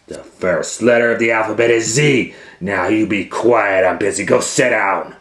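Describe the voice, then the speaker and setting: A grumpy gorilla schoolteacher from a children's storybook, acted out by a man. The voice is gruff and curt, bossing everyone around in an echoey room. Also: on the low side, normal speed, fairly bright tone, close to an everyday voice. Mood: irritated, bossy. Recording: roomy echo.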